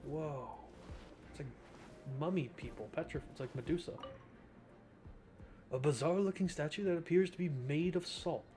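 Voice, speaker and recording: Young male streamer, casual play-by-play, joking and excitable, soft game music in the background. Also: on the high side, moderate pace, pauses often. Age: young adult. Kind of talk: casual gameplay commentary.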